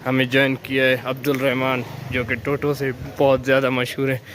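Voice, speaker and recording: A man's voice: mid-range voice, monotone, brisk, slight background noise. A young man from Pakistan chatting casually as a vlogger, thinking aloud and restarting his sentences. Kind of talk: casual vlog narration. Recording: loud music underneath.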